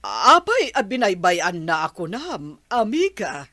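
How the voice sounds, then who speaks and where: A woman's voice: medium pitch, very animated, measured pace, pushed and bright, no echo, clean studio sound, exaggerated voice. A woman acting in an audio drama as a worried, concerned grandmother-to-be.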